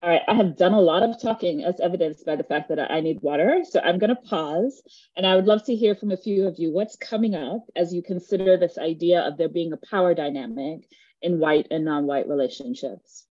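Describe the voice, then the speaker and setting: A woman's voice: lowish voice, fairly quick, dark tone, no echo, lo-fi sound. Earnest woman leading a workshop. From Jamaica. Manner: earnest, emphatic, checks in with listeners. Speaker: workshop facilitator. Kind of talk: teaching a live online workshop.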